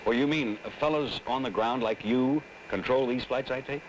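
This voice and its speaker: A man's voice: medium pitch, moderate pace, dull audio, close to an everyday voice. An American man acting as a chatty, inquisitive frequent flyer in an old educational film, with a folksy manner. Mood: curious. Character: curious, chatty male frequent flyer, easily impressed. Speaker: actor as airline passenger. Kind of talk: scripted 1960s educational film dialogue. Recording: old 1960s film soundtrack, faint noise.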